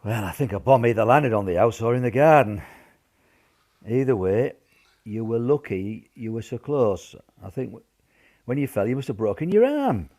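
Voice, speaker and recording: A man's voice: mid-range voice, expressive, normal speed, darkish tone, close to an everyday voice. A man playing a sheepish but reassuring wartime grandfather in an amateur radio play, hesitant and repeating himself. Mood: concerned. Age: older. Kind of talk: amateur radio drama acting.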